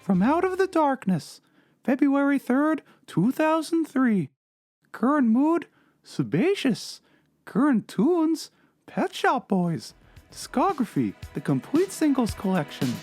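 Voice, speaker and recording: A woman giving a theatrical, expressive dramatic reading of a confessional journal. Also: high-pitched, slow, no echo, studio quality. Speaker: reader in a dramatic reading of a fictional blog. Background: background music.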